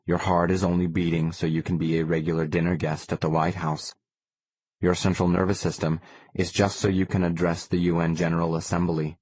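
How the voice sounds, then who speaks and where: A man's voice: low voice, a little mellow. A man reading a first-person novel as an audiobook narrator.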